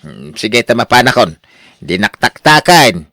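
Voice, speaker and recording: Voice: mid-range voice, sing-song, unhurried, a bit bright, dry recording, clean studio sound, full character voice. A performer with an Ilocano accent playing a hapless, evasive gambler with a whining manner in a radio drama.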